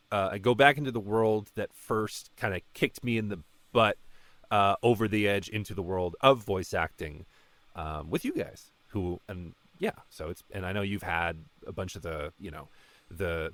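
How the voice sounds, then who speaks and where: A man in his late twenties chatting casually as a guest, halting and rambling with a self-deprecating streak. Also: fairly low pitch, fairly bright tone, no echo. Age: late 20s. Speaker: voice actor, podcast guest. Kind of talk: casual conversation about a fan project.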